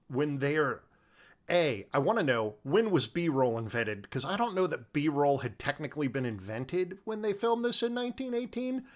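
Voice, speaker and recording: A man co-hosting a podcast, chatting casually about film in an animated, halting way full of informal asides. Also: mid-range voice. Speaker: podcast co-host.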